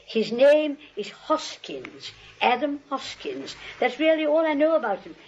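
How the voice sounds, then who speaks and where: Chirpy, high-voiced elderly aunt. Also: female voice, very animated, measured pace, darkish tone, a little hiss or hum. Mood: placid, matter-of-fact. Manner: genteel, chirpy, matter-of-fact. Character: sweet, unflappable elderly aunt, genteel and scolding. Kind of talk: 1940s screwball comedy acting. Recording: muffled, dull vintage 1940s soundtrack.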